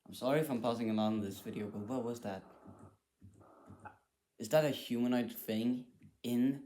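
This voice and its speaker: A man's voice: medium pitch, moderate pace, mellow, a little hiss or hum. A man giving casual reaction commentary, thinking aloud in a hesitant, repetitive way. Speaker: reaction video host.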